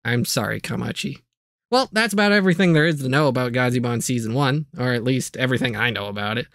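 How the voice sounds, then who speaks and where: A man's voice: mid-range voice, fast, a bit bright, no room sound, studio quality. A man delivering a casual, comedic scripted video review, with jokey asides and playful exaggeration.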